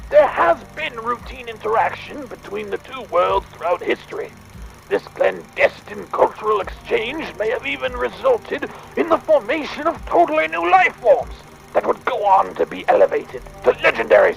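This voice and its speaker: A man playing a fussy, excitable Victorian gentleman inventor, speaking a pompous, wordy audio diary in a theatrical drama. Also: high voice, gravelly, exaggerated voice, rough recording. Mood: flustered, musing. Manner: pompous, wordy, hesitant musing.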